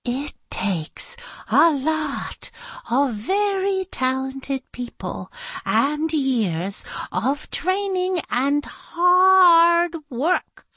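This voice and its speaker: A woman's voice: medium pitch, expressive, a little mellow, slightly rough, no room sound, voice pushed up, full character voice. A woman voicing an exasperated, scolding woman schoolteacher in a children's story, with dramatic, emphatic delivery. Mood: reverent. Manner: dramatic, emphatic, drawn-out stressed words.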